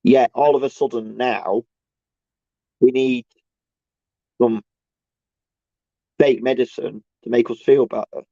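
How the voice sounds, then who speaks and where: A man with a croaky, tired voice and a sore throat from a cold, rambling casually and haltingly as a solo video host, repeating himself and swearing in exasperation. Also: medium pitch, steady tone, dark tone, no room sound. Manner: halting, repetitive, searching for words. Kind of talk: casual rambling monologue to camera. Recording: dull, lo-fi sound.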